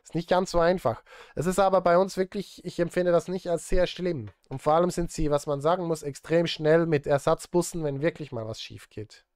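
A man in his thirties talks casually on a livestream. His voice sits a little high for a man. He addresses his chat in an easy conversational way, recorded close and dry with very little room sound. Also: brisk, few pauses, a little mellow, smooth, dry recording. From Switzerland. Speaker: livestreamer and film music composer. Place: indoor home studio.

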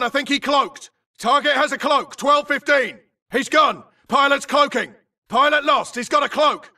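An actor playing a frantic, aggressive grunt soldier in a shooter game, yelling clipped, urgent battlefield callouts. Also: male voice, very high voice, distant mic, full character voice. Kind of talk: shouted combat barks for a shooter game.

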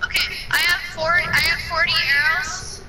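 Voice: very high voice, steady tone, moderate pace, low-quality recording. A gamer in casual chat, talking through their own play and gear. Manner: chatty, narrates own play. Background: loud game music.

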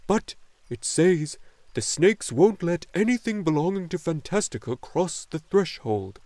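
A man performs a luck dragon from a fantasy storybook, wise and joyful. The voice sits fairly high and moves with wide, singing swings of pitch. Each phrase is delivered slowly and solemnly, in a close, dry sound. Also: very animated, a little mellow, no echo. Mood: solemn, measured. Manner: slow, solemn, deliberate delivery.

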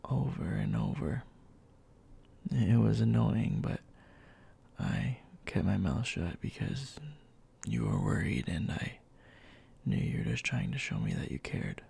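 A man playing a bossy but tender young boyfriend in a soft, intimate ASMR roleplay, speaking in a halting, breathy murmur that often drops to a whisper. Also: on the low side, big pitch swings, exaggerated voice. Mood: tender, earnest. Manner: halting, murmured, scolding yet caring.

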